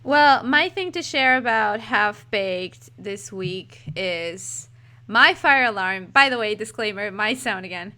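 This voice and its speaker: A woman's voice: mid-range voice, animated, takes it slowly, pushed and bright. A woman with a Texan accent co-hosting a podcast in casual chat, giggly and chatty, often trailing off mid-sentence. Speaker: podcast co-host. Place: home apartment.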